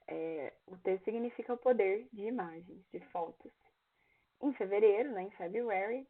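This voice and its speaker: A young woman with a Brazilian Portuguese accent teaching an English exam review class, reading lines in English and explaining them. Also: mid-range voice. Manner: reads English lyrics aloud, then translates and explains each line. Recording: tinny phone-like online class audio.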